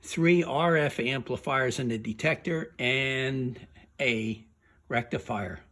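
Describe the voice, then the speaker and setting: A man giving an informal, unscripted demonstration as a hobbyist, explaining haltingly and hesitantly with trailing phrases. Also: medium pitch, a bit bright. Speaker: radio restoration hobbyist demonstrating a restored set.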